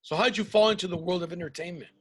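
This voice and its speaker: A man in his thirties hosting a podcast over a video call, asking questions in a relaxed, joking way. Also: high-pitched, fast, breathy edge. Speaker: podcast host. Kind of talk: casual podcast chat. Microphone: video call webcam.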